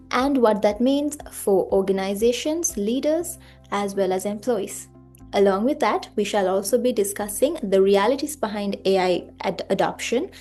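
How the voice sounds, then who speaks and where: A woman's voice: on the high side, darkish tone. A woman hosting a podcast, reading a formal introduction and then asking interview questions in a polite way, with the odd filler word. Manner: reads from a script, polite and formal, with some filler ums. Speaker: podcast host.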